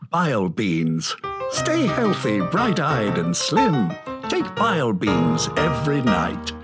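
Deep, slow radio horror host. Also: male voice, fairly bright tone, husky, heavily acted. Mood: showman-like. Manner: solemn, theatrical. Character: ominous 1930s radio horror host and narrator. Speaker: narrator and announcer. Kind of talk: 1930s radio narration. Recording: prominent music behind narration.

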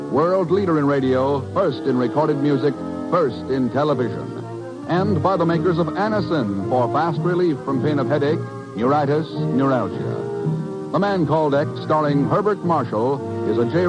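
A man's voice: on the high side, low-quality recording. A man announcing a program in scripted 1950s radio style. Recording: old radio recording over loud music. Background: loud music.

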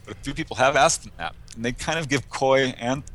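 A man's voice: medium pitch, a little fast, fairly bright tone. A middle-aged man speaking as an interview guest, casually recounting cases and anecdotes. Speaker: interview guest, UFO researcher and author.

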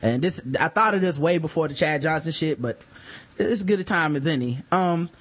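A man's voice: a little high, fairly quick, a bit bright. A man hosting a podcast, telling stories in loose, profane, laughing banter. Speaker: podcast host.

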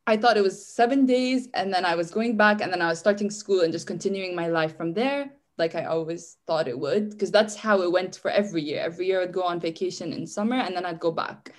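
A woman's voice: on the low side, a little fast, few pauses. A young woman from Syria, a guest in a casual interview, chatty and rambling with lots of "like" and frequent restarts. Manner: chatty, restarts often, says "like" a lot.

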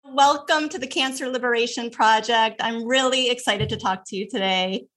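A woman's voice: on the high side, moderate pace, fairly bright tone. A woman hosting a podcast, who talks in an upbeat, friendly, chatty way with casual fillers. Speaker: podcast host. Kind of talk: casual interview chat.

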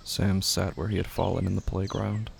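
A man reading scripted horror fiction as a narrator, in deliberate, dramatic phrases with pauses. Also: low voice, flat delivery, gravelly, a little mellow, a little hiss or hum.

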